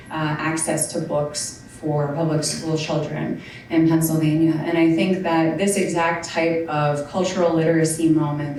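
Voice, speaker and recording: A woman speaks informally to a public gathering as a state representative. Her voice is low, and it reaches the mic from far off in a very echoey space with faint noise. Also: mellow. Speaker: state representative giving a speech. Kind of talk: informal public speech at a dedication event.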